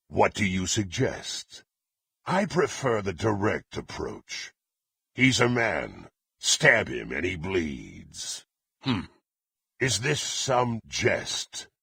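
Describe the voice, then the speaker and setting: An actor playing a proud, menacing, bloodthirsty warrior in a fantasy video game, with a gravelly, husky voice and an imperious, threatening manner. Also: male voice, fairly low pitch, fairly bright tone, dry recording, full character voice. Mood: cold, threatening. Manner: imperious, threatening, formal archaic phrasing.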